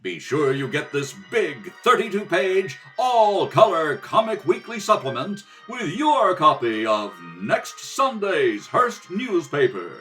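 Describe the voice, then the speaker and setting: A man's voice: fairly high pitch, big pitch swings, slow pace, hardly any pauses, fairly bright tone, faint music behind, low-quality recording. A man narrating in the dramatic, theatrical style of a 1930s radio serial announcer. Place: kitchen table at home.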